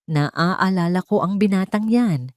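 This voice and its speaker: A woman's voice: mid-range voice, measured pace, dry recording, clean studio sound, close to an everyday voice. A Filipino woman voicing a frightened, hesitant old female former nurse in an audio story. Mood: fearful, grave.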